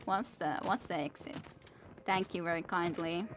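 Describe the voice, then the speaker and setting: A young woman streaming a game and chatting with viewers in a soft, musing way; English is not her first language and her voice is worn out from long reading. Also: on the low side, steady tone, normal speed, noisy background. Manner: soft, musing, self-talking. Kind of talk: casual gameplay chat with viewers. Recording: game music in background.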